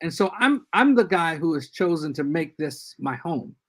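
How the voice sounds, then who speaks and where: A man's voice: fairly high pitch, big pitch swings. Older man giving earnest advice. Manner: earnest, measured advice with restarts. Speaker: guest church leader. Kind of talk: casual podcast discussion.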